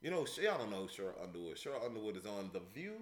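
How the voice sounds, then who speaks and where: A man, a stand-up comedian, chatting on a podcast as a guest and telling stories in an animated, loud way, acting out the scenes. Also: on the low side, rapid-fire, reverberant, a crowd in the background. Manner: animated, loud storyteller who acts out scenes. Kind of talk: casual podcast chat and storytelling.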